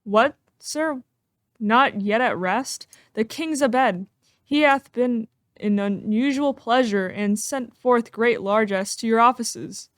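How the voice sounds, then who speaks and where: A woman playing a loyal, watchful soldier and father in an amateur Shakespearean dramatic reading, with measured, earnest delivery. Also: a little high, no room sound, studio quality. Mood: courteous. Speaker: amateur performer in a library reading.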